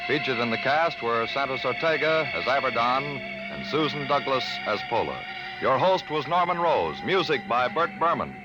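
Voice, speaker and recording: A man's voice: medium pitch, lively intonation, moderate pace, dull audio. A man announcing credits in the style of 1950s radio. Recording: vintage radio broadcast with loud music. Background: loud music.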